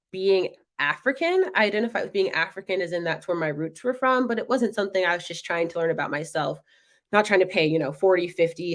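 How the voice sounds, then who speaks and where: A young woman from North Carolina, hosting a web show and talking through her stories in a casual monologue, lively when she quotes other people. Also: fairly low pitch. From North Carolina, United States. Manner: animated, acts out others' voices in quotes.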